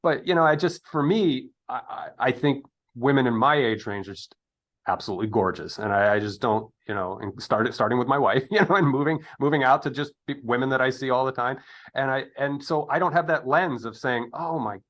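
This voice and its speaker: A man's voice: medium pitch, brisk, a bit bright. Male, 50s, interviewer. Conversational and meandering, mid-range voice, works out ideas as he speaks. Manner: rambling, digressive, thinking aloud. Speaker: podcast interviewer.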